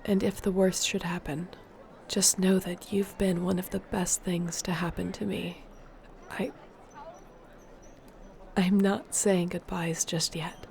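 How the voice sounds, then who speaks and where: Woman acting earnest, low-voiced sorceress with creaky edge. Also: flat delivery, a little hiss or hum. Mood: tender, grave. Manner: measured, earnest, articulate, softly formal. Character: earnest, determined sorceress in a fantasy kingdom. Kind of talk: intimate audio roleplay acting, speaking to one listener.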